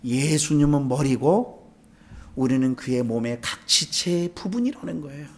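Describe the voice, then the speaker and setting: A man's voice: mid-range voice, animated, normal speed. A man from South Korea preaching as a pastor, conversational and emphatic, with rhetorical questions and repeated key phrases. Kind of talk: sermon with rhetorical questions and anecdotes.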